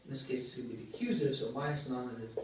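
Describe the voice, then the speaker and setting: A man's voice: mid-range voice, rapid-fire, slight background noise. A man giving a university linguistics lecture, explaining step by step and putting questions to the class. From Greece. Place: large lecture room. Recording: echoey lecture hall from far off.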